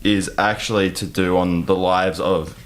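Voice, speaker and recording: A man with a notably low voice hosts a podcast. He introduces news stories and comments on them in loose, casual chat. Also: little pitch movement, measured pace. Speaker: podcast host presenting news.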